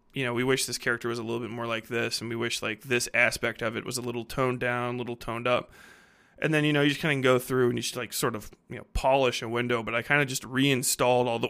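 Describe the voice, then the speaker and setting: A man's voice: medium pitch, fairly even intonation, fairly quick, vocal fry, a bit bright. A man in his thirties hosting a podcast, talking off the cuff in a rambling, blunt, slangy way with plenty of swearing. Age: 30s. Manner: rambling, heavy casual swearing. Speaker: podcast host and fiction writer. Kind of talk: casual unscripted podcast monologue.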